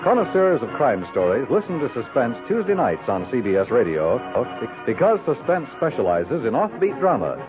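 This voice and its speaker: A man's voice: mid-range voice, very animated, moderate pace. A man working as a radio announcer, reading a script with formal, dramatic enunciation in 1950s style. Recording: narrow-band 1950s radio broadcast. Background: music.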